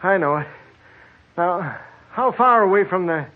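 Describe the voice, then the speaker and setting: An actor playing a weary, skeptical prospector lost in the desert, plain-spoken and emphatic, in an old radio drama. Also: male voice, high voice, animated, measured pace. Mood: weary, relieved. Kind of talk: old-time radio horror drama acting. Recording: muffled old radio recording.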